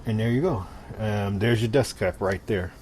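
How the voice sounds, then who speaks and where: A man presenting a software tutorial in a casual, informal way, explaining step by step as he demonstrates. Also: on the low side, normal speed, low-quality recording. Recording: clear steady background noise.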